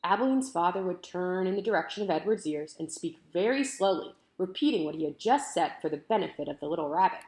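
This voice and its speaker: A woman's voice: lowish voice, animated, quick pace, few pauses, a little mellow. A woman narrating a children's book aloud expressively, with careful, emphatic storytelling phrasing. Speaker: teacher reading aloud. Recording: roomy echo.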